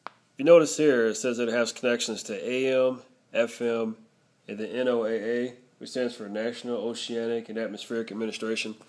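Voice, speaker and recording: A man's voice: mid-range voice, fairly even intonation, a little fast, darkish tone. A man narrating a casual product review, explaining things step by step.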